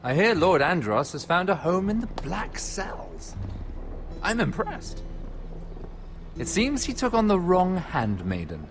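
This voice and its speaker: An actor playing a scheming, wealthy merchant lord in a story game, with silky, mocking, falsely friendly speech. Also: male voice, sits high, wildly swooping pitch, slow pace, full character voice. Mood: smug. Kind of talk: video game voice acting.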